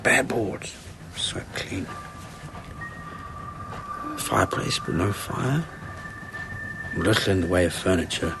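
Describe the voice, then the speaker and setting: A man's voice: low-pitched, full character voice, rough recording. A man playing a shaken, stubborn police inspector in a radio play, tense and clipped, with a British accent. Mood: entranced. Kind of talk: radio drama acting. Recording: music under the dialogue.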